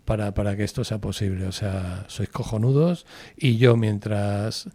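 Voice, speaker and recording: A man's voice: lowish voice, a little slow, breathy edge, no echo. A man in his sixties, a radio guest chatting casually, swearing freely and telling stories. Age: 60s. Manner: swearing, storytelling, animated when joking.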